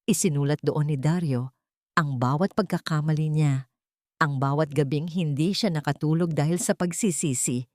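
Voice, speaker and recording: A woman with a Filipino accent narrating a scripted dramatic story in a measured, earnest, sentimental way. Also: low voice, a little mellow, dry recording, clean studio sound.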